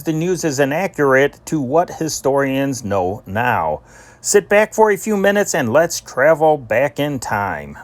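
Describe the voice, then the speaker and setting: Guy reading old time news dispatches, kinda high voice, formal announcer delivery, bit of background noise.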